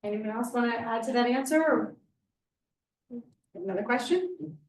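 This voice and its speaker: A woman moderating a panel, briskly calling for questions. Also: fairly high pitch, a little mellow. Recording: echoey hall from far off.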